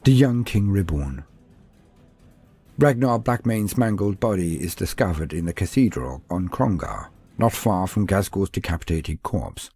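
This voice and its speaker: A man's voice: low-pitched, animated, measured pace, dark tone, husky, dry recording, soft background music. A man reading scripted fantasy lore as a narrator, in a theatrical, formal storytelling style.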